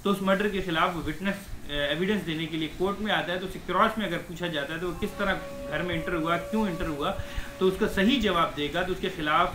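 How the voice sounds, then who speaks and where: A man's voice: high voice, quick pace. A man explains law like a teacher, repeating points and dropping in English legal terms. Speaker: advocate giving law lessons. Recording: echoey room with faint noise.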